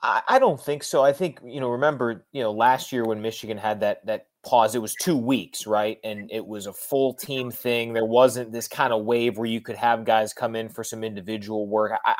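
Conversational male sports broadcaster, mid-pitched. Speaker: sports broadcaster, podcast guest. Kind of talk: casual sports analysis.

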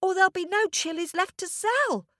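A woman's voice: very high-pitched, moderate pace, fairly bright tone, no echo, clean studio sound, pitched above the performer's own voice. A woman voicing a worried little boy pleading for help in a children's story. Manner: pleading, eager.

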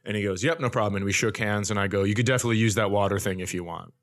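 A man's voice: fairly low pitch, rapid-fire. A man hosting a comedy podcast, telling stories casually in a wry, self-deprecating, conversational way.

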